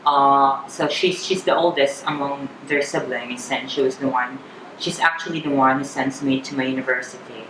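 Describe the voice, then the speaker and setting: A woman in her thirties with a Filipino accent, chatting candidly as an interview guest, often halting and repeating words. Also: extremely low pitch, fairly even intonation. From Philippines. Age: 30s. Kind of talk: personal interview chat. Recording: echoey room with clear background noise.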